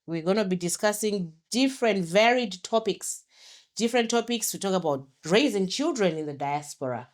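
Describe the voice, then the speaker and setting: A woman's voice: mid-range voice, animated, normal speed. A middle-aged woman from Zimbabwe hosting a solo podcast, chatty and promotional, often correcting herself as she talks. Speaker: podcast host.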